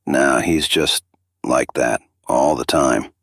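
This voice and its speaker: Man voicing a very deep, gravelly, laid-back trucker. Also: slow pace, fairly bright tone, no echo, clean studio sound, full character voice. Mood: sardonic. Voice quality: gravelly, husky. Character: gruff, laid-back male truck driver, dryly sardonic.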